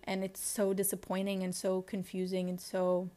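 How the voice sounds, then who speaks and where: A woman's voice: mid-range voice, completely flat delivery, moderate pace, warm tone. Young Lebanese woman, candid and introspective. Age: 20s. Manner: candid, introspective, searching for words. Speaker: podcast host. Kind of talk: personal diary-style podcast monologue.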